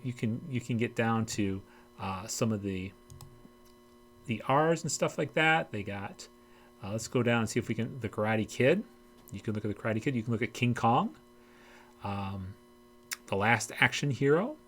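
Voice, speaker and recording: A man's voice: medium pitch, a bit bright. Man hosting a screenwriting tutorial. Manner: informal, explanatory, directly addressing viewers. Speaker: host of screenwriting tutorial videos. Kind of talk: instructional vlog and screen walkthrough.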